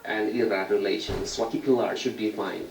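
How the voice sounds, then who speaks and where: A male interviewer with a low, gravelly voice asks formal, measured questions. He drops short acknowledgments while his guest talks. He sounds far from the mic in a reverberant space with clear background noise. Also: steady tone. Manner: brief backchannels between questions. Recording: echoey, off-mic, audible noise.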